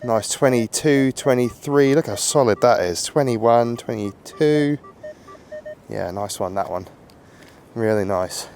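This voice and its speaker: A man with a husky voice from a cold, chatting casually while metal detecting in an open field outdoors. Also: mid-range voice, slow pace, a little mellow, a little hiss or hum. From England. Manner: chatty, rambling, upbeat, laughs often. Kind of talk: casual outdoor vlog chat.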